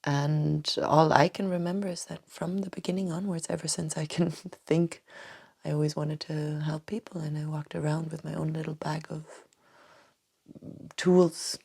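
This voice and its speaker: Low, gravelly, soft-spoken woman. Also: little pitch movement, normal speed. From Tanzania. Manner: hesitant, many restarts and soft breathy trailing phrases. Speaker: doctor and acupuncturist, podcast guest. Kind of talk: reflective interview answers. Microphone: podcast microphone.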